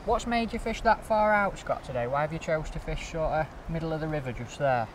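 A man's voice: fairly high pitch, expressive, a little fast, far from the mic. A man, hosting a fishing show outdoors on a riverbank and asking his guests to explain what they do. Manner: chatty, asks guests to explain. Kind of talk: casual interview on the bankside. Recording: outdoor recording, faint noise, bit off mic.

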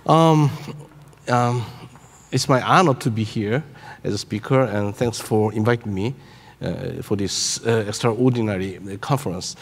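A man in his sixties speaks as a keynote presenter with a Korean accent. He proceeds haltingly, often searching for the next word. His voice carries the long reverb of a large hall. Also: fairly low pitch, vocal fry, breathy edge. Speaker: keynote speaker, robotics researcher. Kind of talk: conference presentation. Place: large conference hall on stage. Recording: echoey hall through PA.

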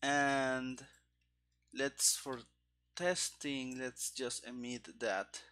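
A man in his thirties thinking aloud as he codes on a stream, halting and muttering to himself into a headset microphone, with keyboard clicks behind him. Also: medium pitch, steady tone, pushed and bright. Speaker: programmer streaming game development. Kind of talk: thinking aloud while live coding. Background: keyboard typing and clicking.

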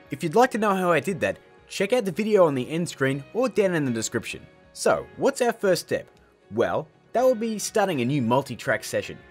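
A man in his thirties hosting a casual scripted tutorial in a jokey, emphatic, theatrical way. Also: a little high. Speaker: tutorial host.

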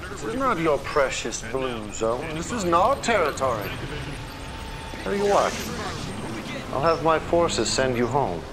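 A man's voice: fairly high pitch, sing-song, unhurried, a crowd in the background. An actor playing a smug, menacing enemy commander in a video game, taunting as he speaks. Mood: smug menace. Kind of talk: video game villain acting. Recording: noisy game audio with vehicle sounds.

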